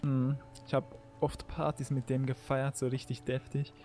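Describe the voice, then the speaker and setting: A man's voice: mid-range voice, little pitch movement, normal speed, warm tone. A young man playing along as co-player, joking around and laughing often. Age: young adult. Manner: jokey, laughs often, clowns with silly bits. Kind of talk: casual gaming chat. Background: game music.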